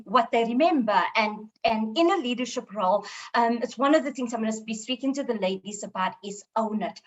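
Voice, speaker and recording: A woman in her twenties from South Africa with a squeaky voice, speaking earnestly as a panelist in a panel discussion. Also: rough recording. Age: 20s. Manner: earnest and encouraging, with self-deprecating humor.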